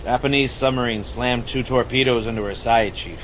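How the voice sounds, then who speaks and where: A man acts out a weathered, boastful sea-dog with a haunted past. He rambles through his tales in a mid-pitched voice, like a veteran hunter holding court in an amateur audio drama. The sound is lo-fi, with clear background noise. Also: fairly even intonation, moderate pace, fairly bright tone, lightly acted. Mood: grim, haunted. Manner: rambling salty storyteller, addressing listener as Chief. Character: gruff boastful old sea-dog shark hunter, haunted war survivor.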